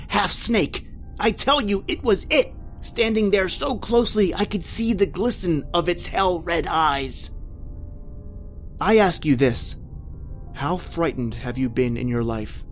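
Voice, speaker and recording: An actor playing an anguished married man trapped in prehistoric time, telling his horror in melodramatic old-time radio style. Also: sits high. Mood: dread-filled. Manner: melodramatic, intense, repetitive narration.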